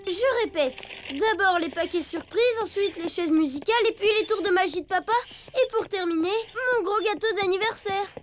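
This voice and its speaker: A performer voicing an excitable, earnest little boy elephant in a French children's cartoon, in an expressive way. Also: very high voice, moderate pace, hardly any pauses. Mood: eager. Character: excitable little boy elephant planning his birthday party. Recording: music under the voice.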